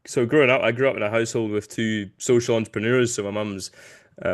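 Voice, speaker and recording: Low-voiced young man with light Glaswegian accent. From Glasgow, Scotland. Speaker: podcast guest, business founder. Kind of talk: casual interview conversation.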